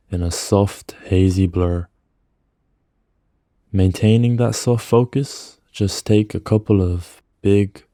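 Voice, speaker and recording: Slow, low-voiced man guiding meditation. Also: dry recording, clean studio sound. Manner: soothing, gentle, measured phrasing with pauses. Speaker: meditation narrator. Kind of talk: guided meditation narration.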